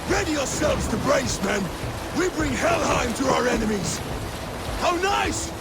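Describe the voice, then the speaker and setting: A fierce Viking warrior for a video game rallies fighters in a very high, strained pitch. The delivery is commanding and very lively, with heavy noise of rain and water all around. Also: male voice, measured pace, exaggerated voice. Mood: battle-fired. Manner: loud, commanding battle calls. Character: fierce male Viking warrior rallying his men in battle. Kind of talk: dramatic video game acting.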